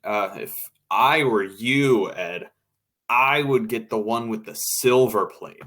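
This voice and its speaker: A man's voice: medium pitch, takes it slowly, a bit bright. A man playing a wry, bossy radio show colleague in an old-time radio drama, with a dry, casual, take-charge manner.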